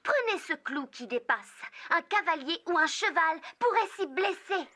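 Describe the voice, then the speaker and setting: A stern female inspector in a French cartoon. The voice is very high and sharply bright, picking out every fault. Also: lively intonation, normal speed, heavily acted. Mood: critical.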